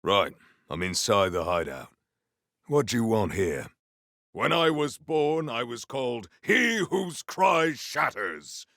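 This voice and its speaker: An actor playing a proud, honorable warrior in a video game, speaking in a stately, theatrical, formal way. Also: male voice, mid-range voice, sing-song, takes it slowly, gravelly, bright, forceful tone, no echo, studio quality, exaggerated voice. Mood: stoic, formal. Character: proud, honorable, formal warrior, adult man, earnest and grandiose. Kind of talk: video game character voice lines.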